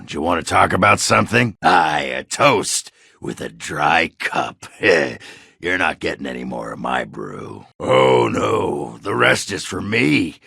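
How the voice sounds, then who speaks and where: An actor playing a crude, hard-drinking dwarf warrior in a video game, rough and raspy, with a blunt, coarse way of talking. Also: male voice, very deep voice, pushed and bright, no room sound, clean studio sound, heavily acted. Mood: tipsy, jovial. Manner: blunt, coarse, folksy swearing. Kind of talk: video game character dialogue.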